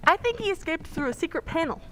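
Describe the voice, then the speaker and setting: A woman plays an inquisitive lady companion in an old-time detective radio play. Her voice is high and very animated, with faint noise behind it. She stays poised and polite. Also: fast talker, full character voice. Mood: curious, poised.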